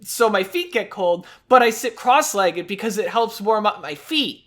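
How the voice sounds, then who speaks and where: A man co-hosting a podcast in casual chat, rambling along with stammered restarts and quick laughs. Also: high voice, animated, sharp tone. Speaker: podcast co-host.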